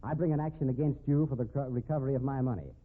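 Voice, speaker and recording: A man's voice: medium pitch, racing, close to an everyday voice. An actor playing a confident, tough man cornering a crook in a 1950s radio drama, sardonic and hard-boiled. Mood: smug, sardonic. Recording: narrow phone-like old radio recording.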